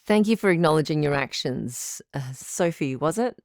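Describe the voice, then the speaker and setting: A woman playing a supportive, frank doctor who hosts a radio advice show in an audio drama, measured and reassuring. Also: low-pitched, animated, creaky, a little mellow, no room sound, studio quality. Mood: gentle, probing. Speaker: radio call-in host.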